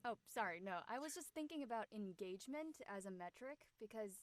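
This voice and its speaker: A woman's voice: fairly high pitch, animated, a little fast. A woman speaking as a panelist in a thoughtful discussion, reasoning things through in an analytical way.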